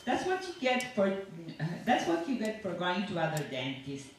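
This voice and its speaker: A woman's voice: lowish voice, huge pitch swings, normal speed. A woman reading a story aloud as a chatty, self-satisfied, sinister dentist who rambles on with cheerful reassurance to a patient. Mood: breezy, fussing. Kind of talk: dramatic monologue read aloud to an audience. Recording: echoey room from far off, faint noise.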